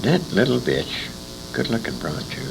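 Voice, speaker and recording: A man's voice: on the low side, sing-song, moderate pace, creaky. An older man casually reminiscing as an interviewee, rambling and emphatic, with a salty, bawdy storytelling manner. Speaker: interviewee, old-time radio veteran. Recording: noisy amateur interview recording.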